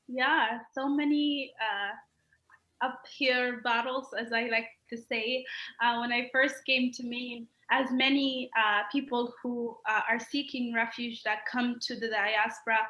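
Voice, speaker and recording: Bright, echoey woman from Sudan. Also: on the high side, level tone, slow pace, highs cut off. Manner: earnest, many uh fillers. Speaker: interview guest, human rights activist. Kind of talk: personal story in an interview. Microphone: video call.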